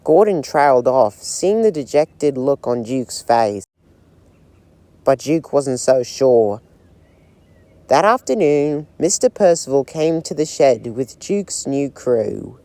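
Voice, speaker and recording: A man narrating a railway storybook as a scripted read, in a slow, gentle storytelling manner. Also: medium pitch, very animated, dry recording.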